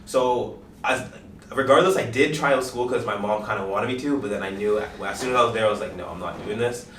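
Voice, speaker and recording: A man's voice: lowish voice, fairly quick, fairly bright tone, slight background noise. A nineteen-year-old man, a mortgage agent, talking in a chatty, slangy way. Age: young adult. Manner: laughing, chatty, slangy ("type of thing"). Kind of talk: casual group chat. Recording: echoey room, mic at a distance.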